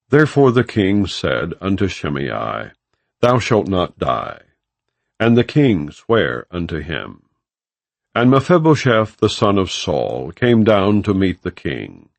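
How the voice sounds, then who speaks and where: A man reading scripture aloud as formal, measured, solemn narration in archaic English. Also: lowish voice, no echo. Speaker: audiobook reader of scripture.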